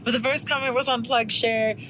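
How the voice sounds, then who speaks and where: A woman's voice: a little high, steady tone, brisk, a bit bright, a little hiss or hum. A woman vlogging from the passenger seat of a car at night, talking casually and animatedly with her partner. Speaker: vlogger, co-host.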